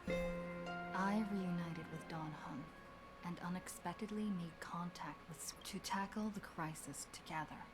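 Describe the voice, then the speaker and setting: A woman playing a solemn young game heroine, recounting an epic saga in measured, dramatic scripted narration. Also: lowish voice, fairly even intonation, loud music behind the voice, lightly acted. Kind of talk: scripted story recap narration.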